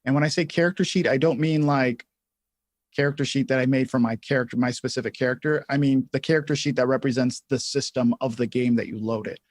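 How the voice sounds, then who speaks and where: A man hosting a casual live software tutorial, thinking aloud in a halting way as he explains things step by step. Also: mid-range voice, no echo, clean studio sound. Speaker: livestream host and software instructor.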